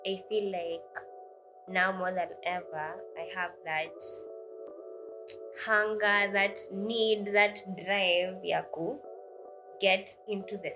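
Kenyan woman, mid-twenties, podcast co-host; lively, laughs a lot, music bed underneath. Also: mid-range voice. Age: 20s. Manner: animated, laughs often, talks quickly. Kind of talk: casual podcast chat mixing English and Swahili.

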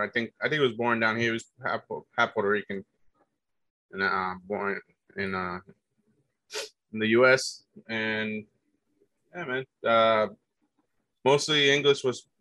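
A man's voice: on the low side, pushed and bright, no room sound. A man telling stories from his youth in a loose, rambling way, full of fillers and restarts. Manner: rambling, many fillers and restarts, says "dude". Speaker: guest telling his life story. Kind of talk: casual conversation.

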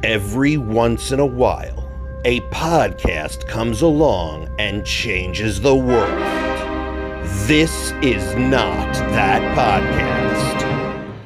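A man reading a comedic promo voice-over as a trailer announcer, deadpan and deliberate, with guitar music behind him. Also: fairly low pitch.

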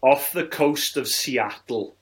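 A man's voice: medium pitch, takes it slowly. A man in his forties bantering casually as a podcast host, with a wry, teasing manner. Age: 40s. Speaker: film review podcast host.

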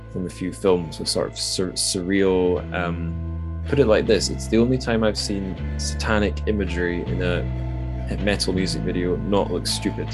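A man hosting a podcast in a casual, conversational style, informally thinking aloud as he goes. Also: low-pitched. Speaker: podcast host.

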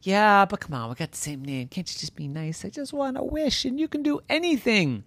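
Man doing a high, pleading cartoonish llama. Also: expressive, fairly quick, a bit bright, dry recording, full character voice. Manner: pleading, wheedling, earnest. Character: naive, whiny, pleading, determined young-sounding male llama.

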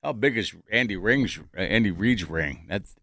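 A man's voice: on the low side, lively intonation, moderate pace, sharp tone, no room sound, clean studio sound. A man hosting a sports talk show, bantering casually in a blunt, opinionated way and often cutting in.

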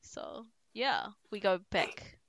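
A woman's voice: medium pitch, huge pitch swings, slow, creaky, a bit bright, dry recording. A young woman chats easily with a friend as host. Her voice swings up and down a lot, and she scatters fillers and laughs through her talk. The sound is close and dry. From New Zealand. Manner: chatty, full of "like" fillers, laughs often. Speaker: podcast host. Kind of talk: casual chat with a friend.

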